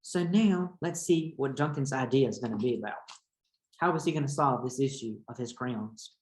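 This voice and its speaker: A man's voice: mid-range voice, moderate pace, slight background noise. A man speaking as a teacher in a read-aloud lesson, explaining and questioning for young pupils. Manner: instructive, addressing young pupils. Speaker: teacher reading a picture book aloud. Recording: echoey room.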